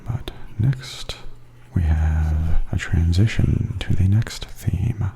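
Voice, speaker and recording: A man giving soft-spoken, hushed and often whispery ASMR commentary as a video host. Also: low-pitched, slow, gravelly. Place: voice-over added after filming.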